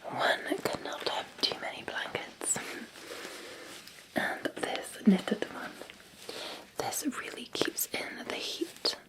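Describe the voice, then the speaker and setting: A Scottish woman playing a gentle, attentive Victorian housemaid in an ASMR roleplay, in a soft, breathy, soothing whisper with polite deference. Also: slow pace, pauses often, lightly acted, poor audio. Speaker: ASMR performer.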